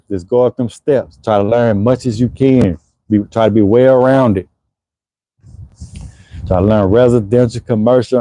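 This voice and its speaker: A man in his forties talks in a relaxed, conversational way, answering viewers' comments during a livestream. His voice is mellow and unhurried, recorded close and dry. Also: medium pitch, dry recording, rough recording. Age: 40s. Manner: laid-back, conversational, frequent "you know what I'm saying". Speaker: HVAC business owner hosting a livestream. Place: Houston area.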